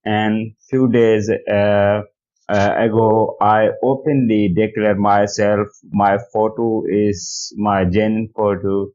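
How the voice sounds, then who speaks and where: Man, Pakistani accent, very slow halting speech, smooth tone, dull lo-fi voice message. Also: lowish voice, level tone, a little mellow. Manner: halting, repetitive, searching for words in non-native English. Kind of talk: personal testimony in a voicemail.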